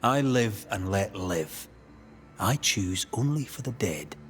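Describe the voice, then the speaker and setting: An actor playing a weary, blunt ghost banisher who speaks in a measured, accusing way. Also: male voice, fairly low pitch, lively intonation, a bit bright. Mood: scornful. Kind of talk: video game cutscene acting. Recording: long reverb under game music.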